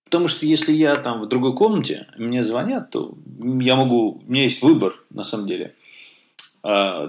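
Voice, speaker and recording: A man co-hosting a casual tech podcast, talking with many fillers and restarts. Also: lowish voice. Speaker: podcast co-host.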